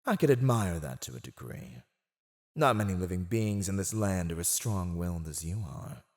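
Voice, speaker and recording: Man playing a low, silver-tongued teasing villain. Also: big pitch swings, moderate pace, darkish tone. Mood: mocking, condescending. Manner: condescending, theatrical, seductively persuasive. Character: silver-tongued, teasing male villain gloating over a captive. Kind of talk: scripted ASMR roleplay acting.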